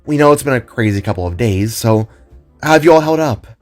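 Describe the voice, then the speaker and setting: A man's voice: mid-range voice, expressive, a little fast, faint music behind, natural voice. A man voicing a happy, caring young expectant mother chatting in a friendly way in an audio drama.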